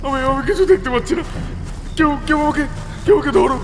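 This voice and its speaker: A man's voice: very high-pitched, fairly quick, music in the background. An actor playing a wounded, blinded man crying out and stammering for help in hysterical terror, in an audio thriller. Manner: shrieking, stammering cries for help. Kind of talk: dramatic audio thriller acting. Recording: long cavernous reverb.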